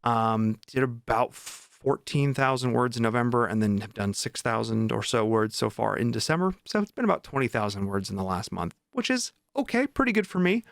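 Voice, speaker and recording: A man's voice: medium pitch, lively intonation, normal speed, gravelly, few pauses, no room sound. A man chatting casually as a vlog host, informal and enthusiastic about his writing. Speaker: vlog host and indie author.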